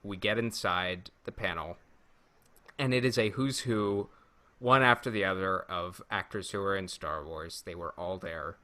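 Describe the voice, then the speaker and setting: A man's voice: sits low, measured pace, bright, forceful tone. A man co-hosting a podcast, telling stories casually with an enthusiastic, jokey and emphatic manner. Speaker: podcast co-host. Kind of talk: casual storytelling and banter.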